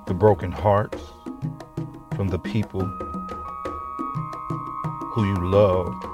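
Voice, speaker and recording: Slow, deep spiritual talk. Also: male voice, level tone, highs cut off. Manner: slow, deliberate, with long pauses. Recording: voice over music with wood block.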